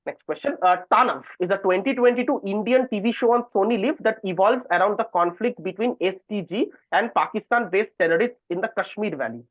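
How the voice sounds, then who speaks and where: A quizmaster reads trivia questions aloud in a medium-pitched voice. The sound is thin and phone-like, as on an online call, with a dry, close finish. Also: expressive, brisk, few pauses, dry recording. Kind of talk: reading quiz questions aloud.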